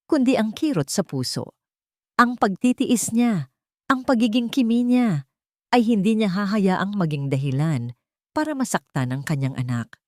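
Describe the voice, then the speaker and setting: A woman's voice: medium pitch, darkish tone, dry recording, studio quality. A woman narrating a scripted romantic melodrama in a dramatic storytelling style.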